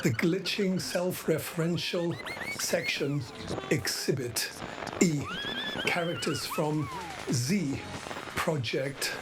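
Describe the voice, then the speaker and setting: Low, slow woman announcing a radio play. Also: wildly swooping pitch, poor audio. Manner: clipped, portentous announcements. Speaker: narrator. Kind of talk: dramatic scripted radio play narration. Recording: loud music behind the voice.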